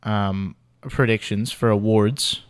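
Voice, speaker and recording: Dry-sounding young man, low teasing banter. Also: fairly even intonation, clean tone, dry recording. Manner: teasing, dry banter, drawn-out emphatic delivery. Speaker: podcast co-host. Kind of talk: casual chat.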